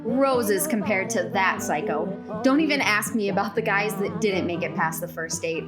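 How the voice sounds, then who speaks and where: A woman's voice: mid-range voice, normal speed, music in the background, close to an everyday voice. A woman playing a frazzled, self-mocking single woman in a radio play, speaking with wry exasperation. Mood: exasperated.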